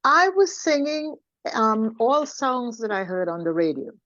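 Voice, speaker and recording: An older woman with a Trinidadian accent, telling her life story conversationally as an interview guest, in an expressive, unhurried way. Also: on the high side, no echo, dull audio.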